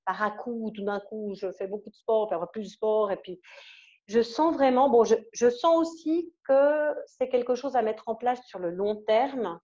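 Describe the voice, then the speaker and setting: A woman from Switzerland with a Swiss French accent, giving an enthusiastic, halting testimonial full of fillers and restarts. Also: fairly high pitch, dark tone, lo-fi sound. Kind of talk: casual testimonial interview.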